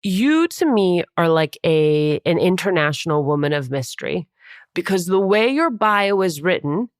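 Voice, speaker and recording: A woman speaking as a podcast host and interviewer, in a clearly phrased presenting style on a close microphone. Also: on the low side, big pitch swings, normal speed, no echo. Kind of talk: scripted podcast narration and interviewing.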